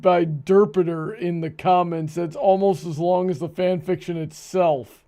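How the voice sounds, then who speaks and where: A man's voice: high voice, steady tone, unhurried, dark tone. A man hosting a show with casual, incredulous and exasperated commentary. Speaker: host.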